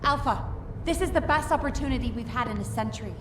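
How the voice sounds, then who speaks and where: A woman playing a forceful, impatient officer in a dramatic video game scene. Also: high-pitched, animated, fast talker, reverberant, distant mic, background noise. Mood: exasperated. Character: forceful, impatient female officer arguing her case.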